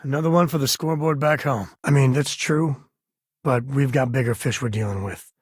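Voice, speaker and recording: An actor voicing a roguish, battle-worn spacefaring frontier ranger in a video game, plain-spoken and folksy with a husky voice. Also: male voice, mid-range voice, brisk, dry recording, studio quality, lightly acted. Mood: wry, easygoing. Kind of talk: scripted video game voice lines.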